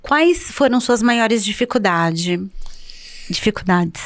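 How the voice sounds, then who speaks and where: A woman's voice: mid-range voice, lively intonation, slow. A Brazilian woman hosting a casual podcast interview, asking questions and agreeing with brief affirming remarks.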